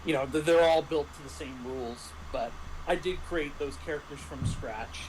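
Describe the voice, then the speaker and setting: A man in casual explanatory chat as a guest game master, speaking haltingly as he thinks aloud. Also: a little high. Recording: clearly noisy livestream audio.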